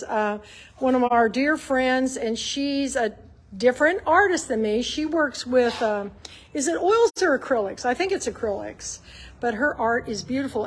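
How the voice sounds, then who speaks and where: Gushing high-voiced woman. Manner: gushing, enthusiastic. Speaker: artist hosting a gallery tour. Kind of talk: casual video tour chat. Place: inside a shop gallery.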